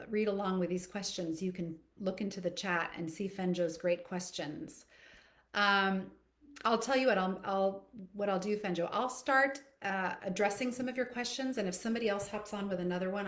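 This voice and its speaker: A middle-aged woman speaking as a voice coach in a live Q&A, explaining things reflectively and addressing listeners directly in a conversational way. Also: mid-range voice. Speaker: voice coach and therapist hosting a live Q&A. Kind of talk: conversational live Q&A, answering audience questions. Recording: echoey, roomy sound.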